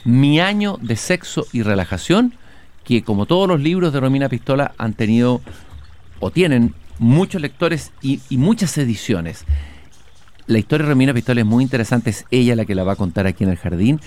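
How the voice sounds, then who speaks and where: A man hosts a calm interview as he walks through a garden. He talks in a thoughtful, measured way, pausing on fillers, in an everyday mid-range voice. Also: medium pitch. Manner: reflective, measured with hesitations. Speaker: host. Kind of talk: relaxed interview on a walk. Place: outdoor garden.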